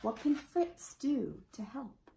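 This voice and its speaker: Lively woman storyteller in an echoey room. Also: sits high, slow, creaky. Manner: expressive, addresses young listeners with asides. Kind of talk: expressive children's picture-book read-aloud. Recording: roomy, echoey indoor sound.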